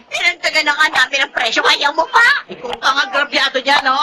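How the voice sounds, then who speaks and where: An actress with a Filipino accent playing a stubborn, quarrelsome market shopper in a melodramatic audio drama. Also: female voice, high voice, lively intonation, brisk, background noise. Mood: indignant. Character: stubborn, shrill, quarrelsome woman haggling at market. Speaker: actor.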